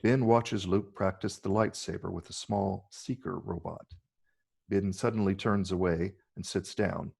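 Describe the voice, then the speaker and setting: A man's voice: deep voice, steady tone, measured pace, creaky, darkish tone, breathy edge. A man narrating stage directions in a scripted reading.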